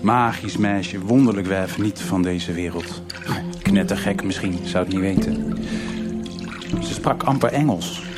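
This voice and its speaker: An actor playing a weary, haunted middle-aged detective in a noir radio drama, muttering and halting as he talks. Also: low voice. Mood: wistful. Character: weary, haunted older male detective confessing his past. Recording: music behind the voice. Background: live musical accompaniment with guitar.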